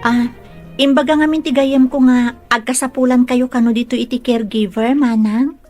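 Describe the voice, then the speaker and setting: A woman's voice: high voice, steady tone, moderate pace, a little mellow, faint music behind, lightly acted. A woman with an Ilocano accent playing a polite, earnest young caregiver applicant in a radio drama. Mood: polite, hopeful.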